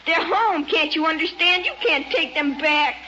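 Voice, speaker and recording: High sharp little boy voice, earnest n stubborn, old radio play, bit muffled. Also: moderate pace. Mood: desperate protest. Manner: pleading, insistent. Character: earnest, stubborn young boy, fiercely loyal. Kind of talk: old time radio drama acting. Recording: dull lo-fi radio recording, faint noise.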